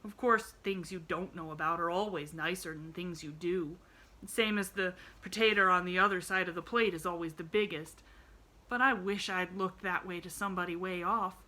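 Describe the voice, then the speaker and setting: A woman's voice: on the low side, steady tone, normal speed, sharp tone. A woman voicing a plain-spoken poor boy with a drawling rustic way of talking. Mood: wistful.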